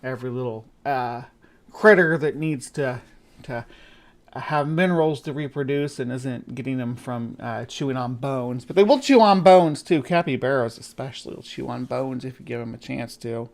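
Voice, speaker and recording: A man's voice: mid-range voice, moderate pace. A man hosting a casual live stream, talking in a rambling way with many fillers and restarts. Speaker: stream host.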